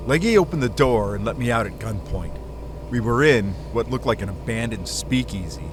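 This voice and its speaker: A man's voice: mid-range voice, lively intonation, normal speed, noisy background, lightly acted. A man playing a cocky, wry, hardboiled hero in a detective audio drama. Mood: wry, confident. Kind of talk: first-person detective drama narration.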